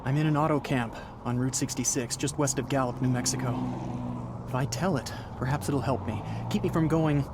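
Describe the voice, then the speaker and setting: A man's voice: mid-range voice, very noisy, natural voice. An actor playing an anxious, haunted thirty-six-year-old motorist with an American accent, telling his story in a radio play. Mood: desperate, urgent. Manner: urgent, confessional. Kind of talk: dramatic first-person radio play narration.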